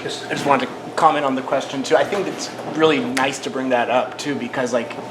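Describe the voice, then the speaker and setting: A man's voice: mid-range voice, little pitch movement, a little fast. A man on a panel giving his view in a casual, loose way, with many fillers. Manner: casual, with frequent "like" and "you know". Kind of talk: panel discussion answer. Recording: echoey room, noisy.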